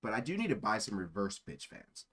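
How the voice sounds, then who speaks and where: Man, low-pitched voice, live streamer, casual chat, rambling, easily sidetracked. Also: big pitch swings, brisk, a bit bright, slightly rough. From born in Germany.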